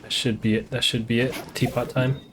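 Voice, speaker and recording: A man's voice: medium pitch, flat delivery, fairly quick, a bit bright, a little hiss or hum. A man who is a game streamer, chatting casually during gameplay. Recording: game music behind the voice. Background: video game music.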